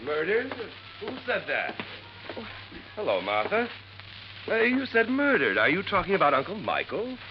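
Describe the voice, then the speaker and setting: An actor playing a condescending playboy gambler in a golden-age radio drama, genteel and affected in manner. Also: male voice, high voice, wildly swooping pitch, normal speed, long pauses. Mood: surprised, self-assured. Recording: dull, noisy old radio recording.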